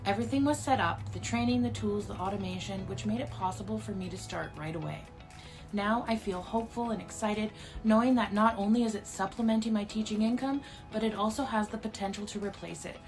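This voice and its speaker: A woman's voice: mid-range voice, fairly quick, soft background music. A woman from Alberta, a teacher and single mother, earnestly sharing her story. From Alberta, Canada. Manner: earnest, open delivery. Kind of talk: personal testimonial.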